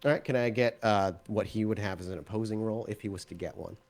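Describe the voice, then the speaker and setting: A man's voice: on the low side, fast, a little mellow. A man running a tabletop game, improvising narration and explaining rules as game master.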